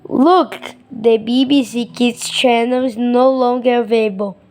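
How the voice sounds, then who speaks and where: A woman chatting casually along with a video, halting and a bit unsure. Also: fairly high pitch, fairly even intonation, darkish tone. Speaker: video creator reacting. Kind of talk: casual play-along commentary.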